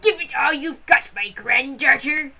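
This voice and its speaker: A woman's voice: high voice, unhurried, vocal fry, bright, forceful tone, full character voice. A woman voicing a hearty old grandfather, a fond and boisterous master of the house, in a visual novel reading.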